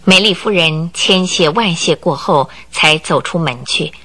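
A woman's voice: lowish voice, measured pace, fairly bright tone. A woman narrating a radio drama in a storytelling style.

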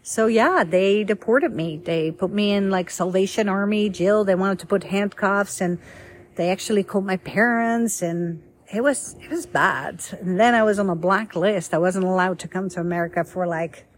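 A woman's voice: medium pitch, a little hiss or hum. Chatty woman telling stories. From Netherlands. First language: Dutch. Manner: chatty and lively, acts out quoted dialogue. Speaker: speaker sharing her life story. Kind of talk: casual personal storytelling.

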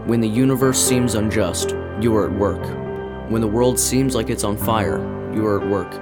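A man reading a scripted devotional in a measured, earnest way. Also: lowish voice, loud music behind the voice. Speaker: devotional reader.

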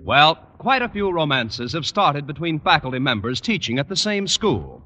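A man announcing a 1950s radio sitcom with polished, booming delivery. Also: sing-song, normal speed, pushed and bright, slight background noise. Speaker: show announcer. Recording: reverberant studio with music behind.

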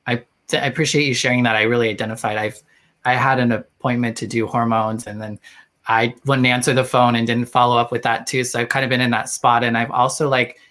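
A man hosting an online interview, soft-spoken and hesitant, with many ums, heard over a video call. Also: medium pitch, level tone, brisk. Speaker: host. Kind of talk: casual interview chat.

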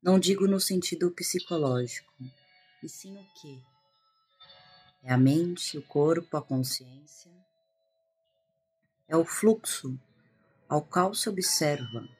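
A Brazilian woman guiding a meditation in a calm, hushed manner with long pauses. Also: low voice, breathy edge. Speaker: meditation guide, integrative therapist. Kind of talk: guided meditation. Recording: music behind the voice. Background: meditation music.

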